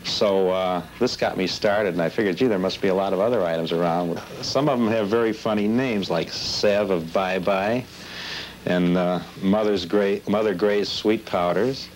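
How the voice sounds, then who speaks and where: Middle-aged man, American accent, deep voice, casual anecdotal show-and-tell, slightly noisy old tape. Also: normal speed. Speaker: collector showing his collection. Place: indoors among crowded shelves. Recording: faintly noisy old videotape.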